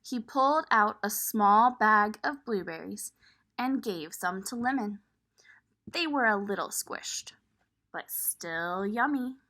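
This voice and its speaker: A woman reading a picture book aloud to young children, expressive and pausing to point things out. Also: mid-range voice. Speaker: children's storybook reader.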